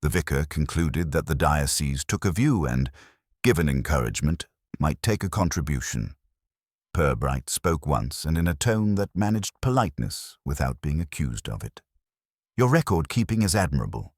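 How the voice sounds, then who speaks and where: Male narrator for a wry mystery novel: very deep, formal, lively intonation, close dry sound. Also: moderate pace, no room sound. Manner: formal, dryly ironic. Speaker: narrator and reader. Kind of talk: scripted literary mystery narration.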